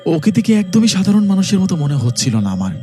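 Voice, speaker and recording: A man acts an anxious young village son in a horror cartoon. His voice sits fairly high and moves up and down a great deal. He sounds earnest and pleading, over faint music. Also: sing-song, quick pace, dark tone. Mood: urgent, pleading. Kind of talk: horror cartoon dialogue and narration.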